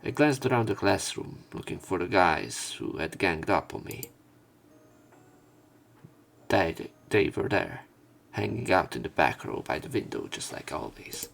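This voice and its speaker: A man's voice: low-pitched, big pitch swings, unhurried, vocal fry, a bit bright. A man reading visual novel narration aloud in a subdued way, as a let's player.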